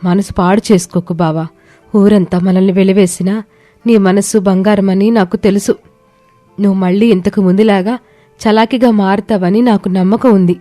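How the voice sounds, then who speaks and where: A woman's voice: mid-range voice, moderate pace, pauses often, mellow, no echo, natural voice. A woman storyteller voicing a devoted young village wife, tender, coaxing and reassuring. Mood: pleading, consoling. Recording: music bed behind the voice.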